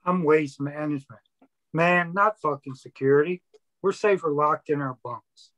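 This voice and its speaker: A man's voice: on the high side, moderate pace, a bit bright, lightly acted. A man playing a cowardly, defensive colony worker in a screenplay table read.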